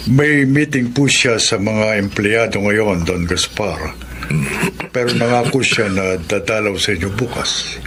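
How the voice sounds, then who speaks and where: An actor playing an earnest, discreet lawyer in a Filipino radio drama, speaking in a measured and polite way. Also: male voice, fairly low pitch, background noise, natural voice. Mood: respectful, reassuring. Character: earnest, discreet male attorney, loyal and respectful.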